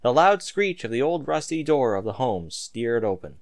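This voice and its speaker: A man's voice: medium pitch, sing-song, moderate pace. A man reading a story aloud in a theatrical, emphatic amateur narrating style.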